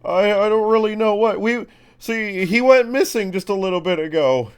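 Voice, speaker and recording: A man's voice: sits high, moderate pace, clear, resonant tone, pitched above the performer's own voice, close to an everyday voice. A man voicing a nervous, rambling nobleman who sounds nerdy and stammers eagerly as he asks for help. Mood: anxious rambling.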